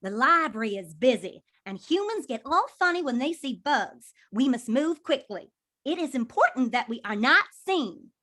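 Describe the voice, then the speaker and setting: A woman performs a plucky little cartoon firefly who fancies herself a pirate captain. The voice is high and bright, with swooping, theatrical delivery. She declaims grandly and eagerly. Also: big pitch swings, normal speed, exaggerated voice. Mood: commanding. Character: plucky theatrical female firefly playing a swashbuckling pirate leader. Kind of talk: animation script table read.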